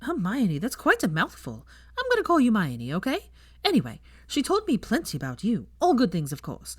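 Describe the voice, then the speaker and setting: A woman's voice: a little high, huge pitch swings, a little fast, breathy edge, no echo, clean studio sound, voice pushed up, full character voice. A woman voicing a blunt, cheerful young witch teacher in a story reading, breezy and prone to casual swearing. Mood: chatty, upbeat.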